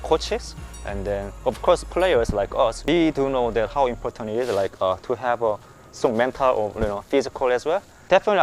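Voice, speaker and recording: A man from South Korea, a player, speaking hesitantly with many fillers. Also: mid-range voice.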